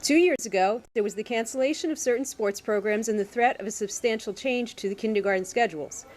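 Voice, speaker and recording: A soft-spoken woman reading a candidate's prepared statement aloud with care. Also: a little high, fast.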